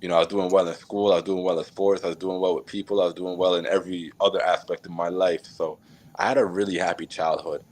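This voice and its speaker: Jamaican-born guy, really low voice, laid back, lots of um and you know. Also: fast talker. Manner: relaxed, reflective, many fillers and laughs. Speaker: podcast guest. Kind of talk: casual storytelling interview.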